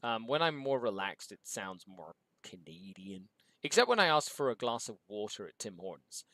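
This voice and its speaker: A man's voice: mid-range voice, very animated, normal speed, pushed and bright, no room sound. A man from Mexico with an English accent, hosting a livestream and reading out viewer questions in an upbeat way before answering them. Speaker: show host.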